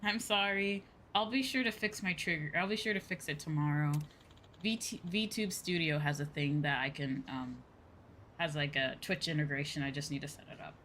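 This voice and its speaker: A young woman chatting casually on a game livestream, rambling, expressive and informal, swearing freely. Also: deep voice, normal speed, slight background noise. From outside Japan. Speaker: VTuber game streamer. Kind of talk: casual livestream chat over a video game. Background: game music.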